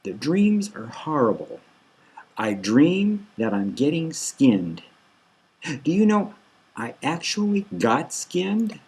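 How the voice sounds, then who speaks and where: A man reading a novel as a desperate, anguished male patient, plaintive and halting. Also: a little high, big pitch swings, darkish tone, husky, full character voice, rough recording.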